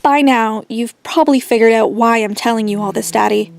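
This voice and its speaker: A woman's voice: on the high side, a little fast, gravelly. An actress playing a confident rich teenage girl who tells her story dramatically in an audio drama. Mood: confiding.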